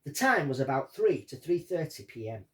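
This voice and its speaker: A man reading out a witness statement and commenting on it as a true-crime host, in deliberate short phrases. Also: on the high side, big pitch swings, a bit bright. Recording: distant from the microphone.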